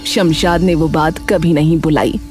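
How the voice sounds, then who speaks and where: A woman with a slightly low voice narrates a scripted dramatic story. Music plays behind her narration. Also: fairly even intonation, unhurried, a little mellow.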